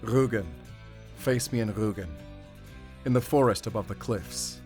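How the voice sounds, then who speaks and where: Low-voiced, determined werewolf hunter. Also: male voice, unhurried, a little mellow, soft background music. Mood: defiant. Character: determined, weary male werewolf hunter, defiant under pressure. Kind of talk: cinematic audio drama acting. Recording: noisy outdoor night ambience.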